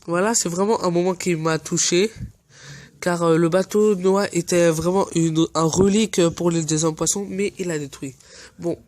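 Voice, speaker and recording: Brief: high-pitched man with a cold, hesitant fan commentary, dry close sound. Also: steady tone, moderate pace, a little mellow, no echo. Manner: earnest and hesitant, often searching for words. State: stuffy from a cold. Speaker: anime fan channel host.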